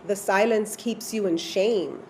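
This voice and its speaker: A woman's voice: medium pitch, a little slow, darkish tone, slight background noise. A woman talking thoughtfully in a conversation, reflecting on shared experiences.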